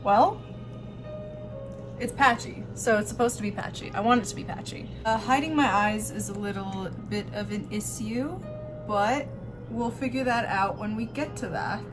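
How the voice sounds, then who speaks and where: A woman's voice: medium pitch, moderate pace, music in the background. A woman hosting a makeup tutorial in a casual, chatty style, with an offhand, self-deprecating and informal way of talking.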